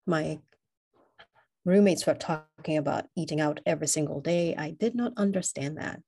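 A woman with a notably low voice and a Kenyan English accent that has been softened by years in America. She recounts her experiences in a measured, reflective way, pausing to gather her thoughts. She is a young adult speaking as an interview guest. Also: gravelly, breathy edge. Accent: Kenyan English, partly Americanized. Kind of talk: personal interview.

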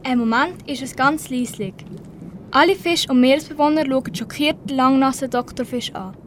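A woman's voice: high voice, moderate pace, slight background noise. A teenage girl reading scripted story narration for an amateur radio play.

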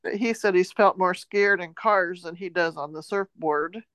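A woman's voice: fairly low pitch, moderate pace, a bit bright, clear, resonant tone, no room sound. An American woman co-hosting a podcast, casually retelling news in a loose, meandering way. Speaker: podcast co-host.

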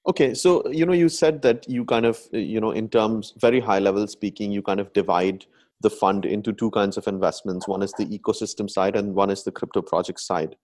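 A man hosting a podcast, asking interview questions in a relaxed, conversational way. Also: lowish voice, fairly quick. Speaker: podcast host.

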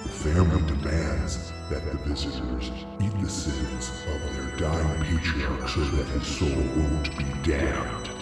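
A man's voice: a bass voice, takes it slowly, hardly any pauses. A man reading a scripted, spooky introduction as host of an old radio showcase. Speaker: podcast host introducing an episode. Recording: heavy echo, distant, loud eerie music.

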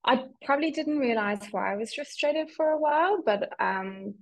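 An Irish woman from Ireland, a guest on an interview podcast, speaking in a reflective, softly hesitant way. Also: sits high, expressive, moderate pace. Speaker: guest, physiotherapist and PhD student.

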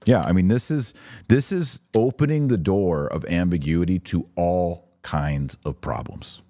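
A man with a low-pitched voice hosts a live podcast, captured close and dry with very little room sound. He moves between reading listener comments and commenting on them in an informal, emphatic way. His delivery is plainly opinionated. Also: lively intonation, measured pace, dark tone, no room sound. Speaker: podcast host.

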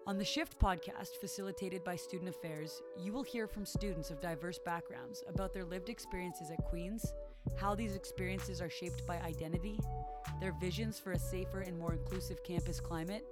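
A woman reading a scripted podcast introduction in a slow, measured, formal way. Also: fairly low pitch, fairly even intonation. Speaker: podcast announcer. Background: clear music.

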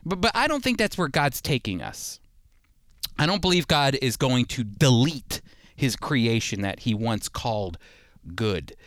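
A man's voice: medium pitch, big pitch swings, a bit bright, no room sound, studio quality. A man giving prepared sermon-style Bible teaching, speaking emphatically as he explains and reads scripture. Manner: emphatic, with rhetorical questions and slowed scripture readings. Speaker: Bible teacher and program host.

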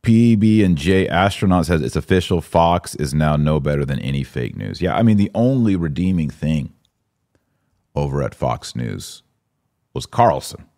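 A man hosting a live-stream podcast, giving casual, conversational commentary in halting phrases with repeats and rhetorical questions. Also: rumbling low, sing-song, warm tone.

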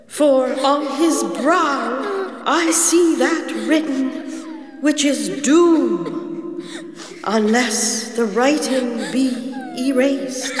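A woman's voice: high-pitched, heavily acted. A woman playing an aged, solemn Christmas spirit in a radio drama, giving grave and portentous warnings. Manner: slow, grave, portentous delivery. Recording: echoing hall reverb over loud music.

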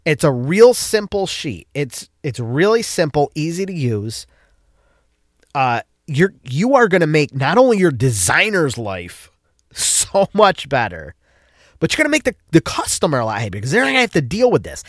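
A man's voice: on the high side, wildly swooping pitch, moderate pace, no room sound, clean studio sound. A man with a stuffed-up nose from a cold, hosting a casual solo podcast and rambling with frequent restarts. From upstate New York. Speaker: podcast host.

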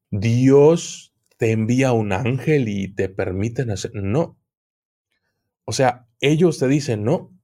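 Slow, hesitant man explaining. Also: mid-range voice, dark tone. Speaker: guest occult expert. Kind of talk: podcast conversation.

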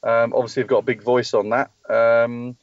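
Man, rambling with ums and restarts, middle pitch, dry dull lo-fi line. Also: level tone, normal speed, no room sound. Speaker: motorsport team spokesperson. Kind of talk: live call-in interview. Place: at a race track testing session.

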